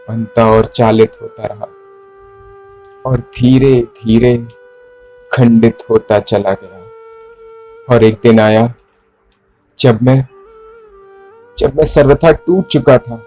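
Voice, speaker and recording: A man acts out a remorseful poet in a stage monologue, his mid-range voice brooding and troubled. He speaks in formal, literary phrases, deliberately, with dramatic pauses, as flute music plays behind him. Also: mid-range voice, warm tone.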